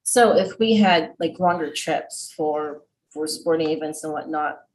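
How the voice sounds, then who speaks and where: A woman's voice: deep voice, measured pace, darkish tone, slight background noise, poor audio. A woman informally asking questions as a board member at a public meeting. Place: indoor school board meeting room.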